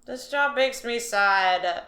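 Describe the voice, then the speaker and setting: A woman's voice: on the high side, slow, sharp tone, heavily acted. A woman voicing a bored young male alien office clerk who whines and mocks his useless job, in an audio drama. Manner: sarcastic, whiny, restless.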